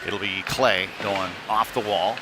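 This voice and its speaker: A man's voice: on the low side, steady tone, measured pace. A man doing casual, conversational play-by-play commentary on a live hockey game, with slightly hesitant phrasing. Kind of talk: live amateur sports commentary. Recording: noisy live arena sound.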